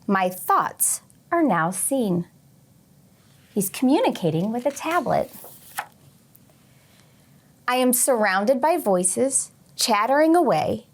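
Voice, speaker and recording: A woman teacher reading a picture book aloud to young children expressively, pausing for short teaching asides. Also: a little high, big pitch swings. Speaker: teacher and read-aloud host.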